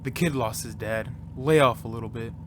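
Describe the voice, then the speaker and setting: An actor playing a joking young male squadmate who tries to calm the group in an audio drama. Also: medium pitch, moderate pace, background noise, natural voice. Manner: light, joking, calming. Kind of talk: audio fiction acting.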